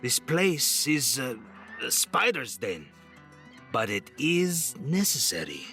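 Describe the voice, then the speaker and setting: A man's voice: medium pitch, sing-song, sharp tone, soft background music, full character voice. A man playing a stern, weary and imperious pirate captain in an audio drama. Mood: stern menace. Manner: deliberate, imperious.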